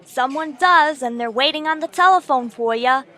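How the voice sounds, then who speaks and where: A woman's voice: high-pitched, moderate pace, pushed and bright. A woman playing a sassy, sarcastic bar worker in a radio drama, blunt and brassy. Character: brassy, sarcastic female bar worker taking phone calls.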